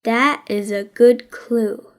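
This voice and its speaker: A woman voicing a serious, self-important boy detective in a deadpan, deliberate manner for a children's story. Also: medium pitch, voice pushed down, lightly acted.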